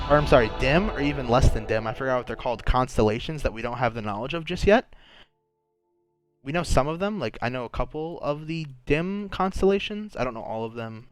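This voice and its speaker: A young man with a sore throat, chatting casually and thinking aloud while playing a game, often restarting his sentences. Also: mid-range voice. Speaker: gaming channel host. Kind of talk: casual gameplay commentary.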